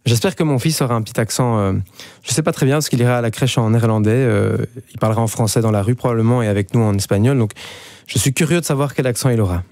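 A man in his thirties from Belgium speaks with a Belgian French accent in a radio interview. His voice is mellow and relaxed, and the sound is close and dry. He hesitates often, with fillers and restarts. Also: mid-range voice, quick pace, no echo. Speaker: musician guest.

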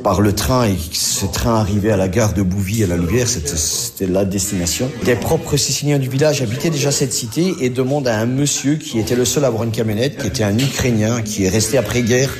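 A man's voice: mid-range voice, brisk, hardly any pauses, over music. A middle-aged man from Belgium with a Belgian French accent, chatting casually and reminiscing in a colloquial way. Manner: colloquial, uses conversational tags. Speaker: interviewee recounting family memories.

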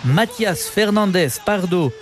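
A man's voice: high-pitched, slow pace. A man narrating a playful televised sports portrait as a reporter. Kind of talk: scripted voice-over narration.

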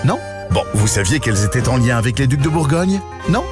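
A man's voice: medium pitch, sing-song, fairly quick. A man reading a playful radio promo in a teasing, sing-song manner. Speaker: promo announcer. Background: hip hop music.

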